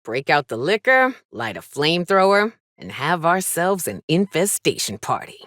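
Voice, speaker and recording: An actress playing a tough, wry, hard-drinking space trailblazer in a video game, with a sardonic, folksy and salty-tongued manner. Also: female voice, sits low, big pitch swings, normal speed, fairly bright tone, no room sound, clean studio sound. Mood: irritated, bold. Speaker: companion character in a video game. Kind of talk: video game voice acting, short reactive lines.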